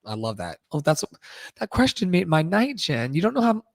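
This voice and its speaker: A man's voice: a little high, wildly swooping pitch, moderate pace, gravelly, no echo, clean studio sound. A man who sings and hosts a live audio show, chatting casually in an effusive, rambling, slangy and profane way. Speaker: singer hosting a live audio room show. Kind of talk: casual, rambling livestream chat.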